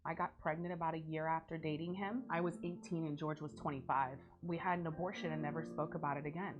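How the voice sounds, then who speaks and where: A woman's voice: deep voice, fairly even intonation, fast, lo-fi sound. A woman in her thirties giving a plain, matter-of-fact personal testimony.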